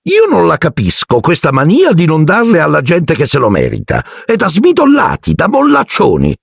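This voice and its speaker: A man reading aloud and voicing a domineering middle-aged aunt in a shrill, barking falsetto. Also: huge pitch swings, moderate pace, vocal fry, husky, no room sound, clean studio sound, full character voice. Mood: scolding.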